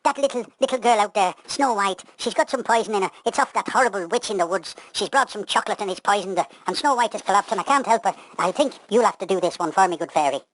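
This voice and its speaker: A woman's voice: medium pitch, quick pace, hardly any pauses, no echo, close to an everyday voice, poor audio. Flustered uncle doctor voiced by a woman. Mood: flustered, grateful. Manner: exclamatory, fussing, repeating himself. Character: male country doctor, flustered kindly uncle.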